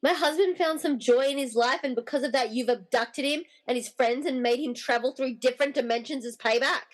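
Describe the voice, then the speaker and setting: Lady doing a defiant wife in a podcast radio play, bit high, blunt, indignant, wont back down. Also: a little fast. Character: determined, defiant wife confronting a villain.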